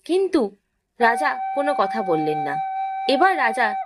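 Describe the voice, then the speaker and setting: A woman's voice: a little high, very animated, a little slow, background noise. A woman narrating a fairy tale from a script as a storyteller, with music behind her. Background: background music.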